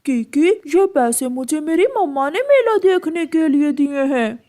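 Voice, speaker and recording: A woman acts a cartoon boy crow, young and cheeky. The voice is very high and lively in pitch, with an excitable, generous temper. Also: unhurried. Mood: gleeful. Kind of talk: children's cartoon story acting.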